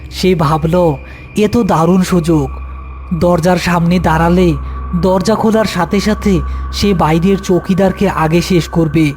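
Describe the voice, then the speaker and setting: A male narrator tells a scripted horror story. His voice is high for a man, with faint music running behind it. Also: steady tone, unhurried, darkish tone.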